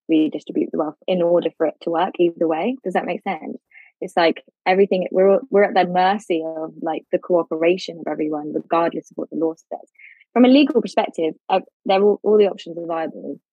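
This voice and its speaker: A woman's voice: lowish voice, slightly rough, no echo, muffled recording. A woman speaking as a legal expert guest, explaining things informally.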